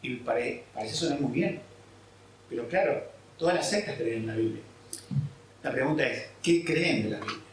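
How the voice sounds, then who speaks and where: A man's voice: medium pitch, expressive, moderate pace, pauses often, a little hiss or hum. A man from Argentina, a pastor preaching a sermon and explaining scripture, often checking in with his listeners. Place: church hall. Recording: echoey hall, mic at a distance.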